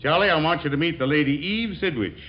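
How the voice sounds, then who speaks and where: A man's voice: a little high, fast talker, natural voice. An actor playing a jovial middle-aged American father, hearty and folksy, in 1940s radio comedy style. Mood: blustering, hearty. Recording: muffled, noisy 1940s radio recording.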